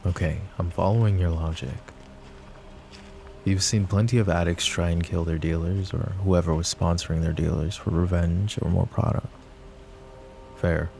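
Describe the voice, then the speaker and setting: A man playing a possessive, overprotective mafia boss in an intimate roleplay audio drama, with a low gravelly voice and a measured, commanding manner. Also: steady tone, darkish tone, a little hiss or hum. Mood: serious, measured. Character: possessive, overprotective male mafia boss, curt but caring. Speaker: voice actor.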